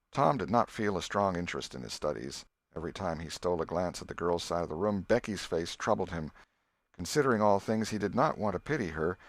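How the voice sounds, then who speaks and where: A man reading an audiobook as an expressive scripted storyteller. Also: low voice, no echo. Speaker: audiobook narrator.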